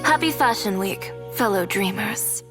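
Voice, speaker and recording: A woman's voice: medium pitch, lively intonation, a little slow, natural voice. A woman voicing a confident, proud young fashion designer in a video game, with a poised, assertive delivery. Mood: proud, resolute. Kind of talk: scripted video game voice acting. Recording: music behind the voice.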